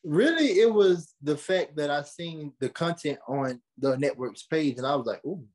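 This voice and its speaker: A young American man giving conversational interview answers, earnest and animated, repeating phrases for emphasis. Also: on the high side, normal speed. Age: young adult. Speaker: podcast host and creative being interviewed.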